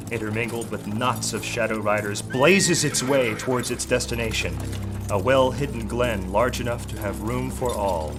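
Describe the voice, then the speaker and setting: A man narrating a fantasy audio drama in a dramatic, scripted storytelling style. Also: medium pitch.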